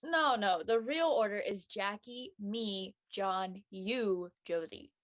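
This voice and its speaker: Woman acting young female friend, mid-range pitch, blunt, supportive, dry teasing, audio drama. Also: slow, a bit bright. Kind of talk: acted audio drama dialogue.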